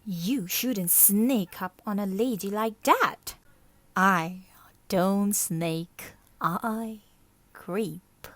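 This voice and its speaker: A woman's voice: medium pitch, no room sound. A woman voicing an oily, hot-tempered male lizard suitor in a storybook, hissing and wheedling as he draws out his words. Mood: sly. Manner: hissing, spitting, drawn-out wheedling.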